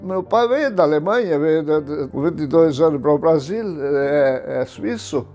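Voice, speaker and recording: A man's voice: fairly high pitch, animated, moderate pace, warm tone, faint music behind. A man in his eighties from southern Brazil, speaking in a documentary interview about his father in short, matter-of-fact remarks. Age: 80s.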